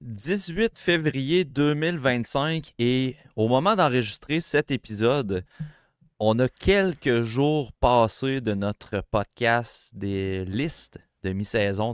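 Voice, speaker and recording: A man with a Québécois French accent co-hosting a casual hockey podcast, chatty and animated, with plenty of fillers. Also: mid-range voice, slow pace, clean tone, no echo, studio quality. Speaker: podcast co-host.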